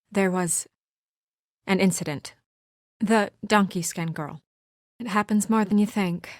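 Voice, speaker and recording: Actress doing a brisk businesslike young woman game character, kinda exasperated but earnest, normal pitch, very dry recording. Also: no echo, close to an everyday voice. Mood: careful, deferential. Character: brisk, exasperated young professional woman, dutiful deputy administrator. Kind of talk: video game voice acting.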